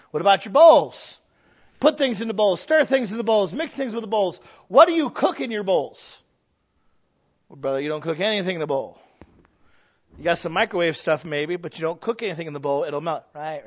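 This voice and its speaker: A man preaching a sermon in a folksy, humorous way, with emphatic rises and drops. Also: a little high. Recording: slightly dull lo-fi sound.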